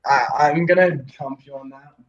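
A man's voice: fairly high pitch, moderate pace, distant mic, dull audio. A young man chatting casually on a podcast; his voice keeps drifting away from the microphone. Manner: drifts off mic, voice fading away. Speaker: podcast co-host. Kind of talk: casual chat.

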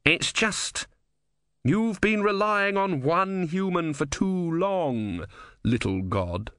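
Here is a man acting out a small tortoise god in a storybook, impatient and bossy. The voice swings widely in pitch as it insists, and it sits close and dry with no room around it. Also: expressive, slow pace, fairly bright tone, no room sound, exaggerated voice. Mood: exasperated.

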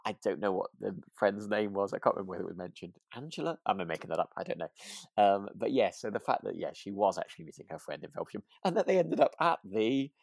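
British male co-host, deep with vocal fry, relaxed conversational podcast chat. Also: fast, dry recording, studio quality. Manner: informal, conversational. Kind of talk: casual chat discussing a radio drama.

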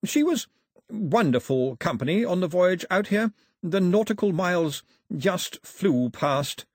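Urbane, courtly royal official, acted by a male reader, assured, husky, with lively melodic swings. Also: high voice, unhurried, no room sound, studio quality, pitched above the performer's own voice. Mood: courteous. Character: smooth, formal, self-assured male crown official. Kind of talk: audiobook character voice.

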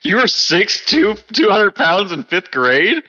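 A young man co-hosting a casual podcast, joking loudly and swearing. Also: high voice, slow, dull audio. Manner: animated, joking, profane.

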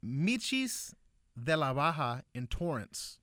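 A man's voice: on the high side, big pitch swings, slow, sharp tone, no echo, studio quality. A man co-hosting a casual food chat, talking in a laid-back, slangy way. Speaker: podcast co-host.

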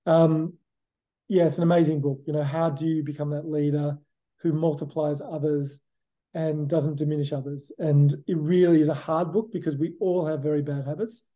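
Bright Australian man, reflective interview guest. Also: a little high, flat delivery, moderate pace. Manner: reflective, frequent "you know" and "look". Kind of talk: conversational interview answers.

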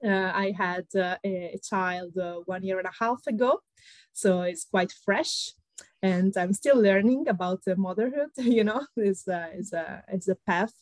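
A woman in her thirties with an Italian accent, answering interview questions thoughtfully with frequent pauses. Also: medium pitch, dry recording, rough recording. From Italy. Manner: thoughtful, many "uh" pauses, light laughs. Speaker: guest, pre-sales consultant.

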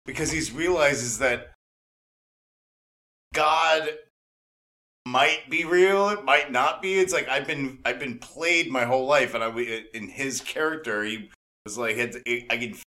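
A man with a bright, forceful voice chats as a podcast co-host. He is casual and excitable, with blunt slang and phrases he tends to repeat. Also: medium pitch, unhurried. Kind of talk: casual enthusiastic chat.

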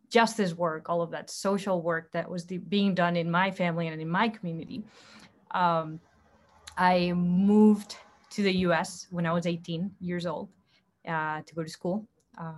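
A woman from Costa Rica whose first language is Spanish speaks in a fairly low voice. She talks as a panelist, giving a personal account in a discussion. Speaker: panelist, startup co-founder.

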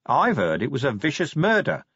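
Audiobook police commander, middle-aged man, played by the narrator: combative, blunt, sardonic, highly animated delivery. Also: moderate pace, husky, no room sound, muffled recording, exaggerated voice. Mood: challenging. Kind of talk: audiobook character acting.

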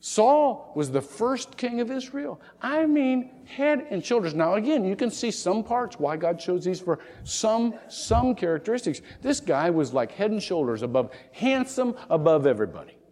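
A man's voice: high voice, very animated, normal speed, few pauses, darkish tone, long reverb tail. A man preaching a sermon as pastor, telling stories in a folksy, joking way and briefly acting out the voices of the people he talks about. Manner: folksy, humorous storytelling with acted-out voices.

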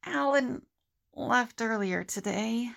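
A woman's voice: on the high side, takes it slowly, vocal fry, fairly bright tone, heavily acted. A woman playing an earnest, level-headed team leader in an audio drama. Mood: disappointed.

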